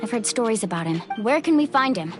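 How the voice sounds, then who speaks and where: A woman's voice: on the high side, expressive, quick pace, over music, close to an everyday voice. An actress playing an earnest, determined young female warrior in a video game.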